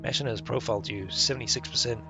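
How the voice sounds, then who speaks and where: A man's voice: mid-range voice, racing, creaky, natural voice. A man voicing a guarded, uneasy male police lieutenant in a video game, with music behind.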